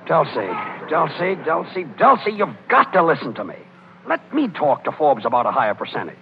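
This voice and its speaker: A man's voice: a little high, sing-song, a little fast, vocal fry. An actor playing a worried, exasperated but patient businessman husband in a 1930s radio comedy, pleading as he talks. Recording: muffled, hissy 1930s radio recording.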